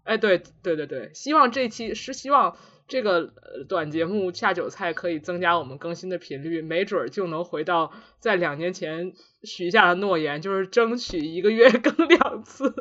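A man's voice: high-pitched, expressive, normal speed, bright, forceful tone. A man co-hosting a podcast in casual chat, with a self-mocking, giggly manner. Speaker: podcast co-host. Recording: slightly dull, lo-fi podcast recording.

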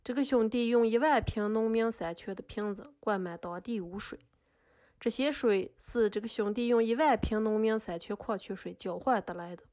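A woman's voice: on the high side, normal speed, darkish tone, close to an everyday voice. A woman playing an indignant accuser, scolding and sarcastic, in a scripted podcast drama. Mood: narrating. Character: indignant female accuser scolding an artist in court.

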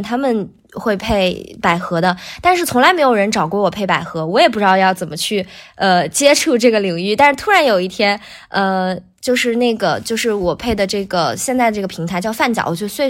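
Woman, podcast guest, casual interview talk, medium pitch, explanatory, self-correcting. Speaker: guest voice actor.

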